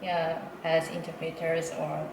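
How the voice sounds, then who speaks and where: A woman, her voice a little lower than most. She talks in a casual, hesitant way, full of fillers and small laughs. The room is echoey, with steady noise behind her. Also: measured pace. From Japan. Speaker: interpreter guest. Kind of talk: casual interview.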